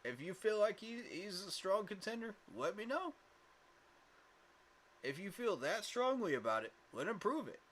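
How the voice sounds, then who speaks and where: A man's voice: high-pitched, big pitch swings, normal speed, a bit bright, a little hiss or hum. A man hosting a casual fan livestream, talking emphatically with lots of fillers and repeated words, tired from little sleep. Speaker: fan channel host.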